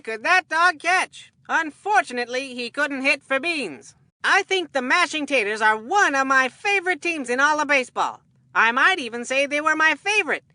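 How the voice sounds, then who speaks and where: A woman's voice: sits high, pushed and bright, no room sound. A woman voicing a goofy, food-obsessed kid baseball commentator in a video game, with a folksy, rambling, earnest delivery. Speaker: sports commentator. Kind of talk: cartoonish scripted game commentary.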